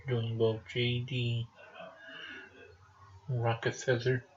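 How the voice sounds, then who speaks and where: A man's voice: medium pitch, monotone, slow pace. A man giving a casual spoken introduction as a presenter. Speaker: presenter of a homemade readalong upload. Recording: muffled recording with clear background noise.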